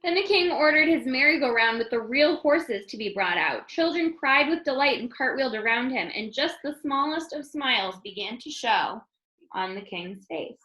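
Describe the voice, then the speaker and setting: A woman reading a children's story aloud as an expressive narrator. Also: high voice, normal speed. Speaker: storybook reader. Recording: roomy, echoing room sound.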